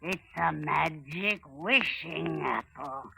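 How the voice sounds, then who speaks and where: Creaky, cackling old witch. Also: low-pitched, sing-song, slow pace, pushed and bright, heavily acted. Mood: wheedling. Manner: wheedling, sly, theatrical. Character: wicked old witch posing as kindly granny peddler. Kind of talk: 1930s radio acting. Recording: noisy vintage 1930s radio broadcast.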